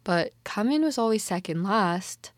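A woman's voice: mid-range voice, expressive, unhurried, no echo, clean studio sound. A young woman with a Canadian accent co-hosting a casual podcast chat, talking in an informal, slangy, emphatic way. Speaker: podcast co-host. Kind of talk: casual chat discussing a TV drama.

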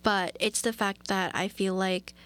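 A young woman co-hosting a podcast in casual chat, talking with many fillers and quick laughs. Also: medium pitch, fairly even intonation, fairly bright tone. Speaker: podcast co-host.

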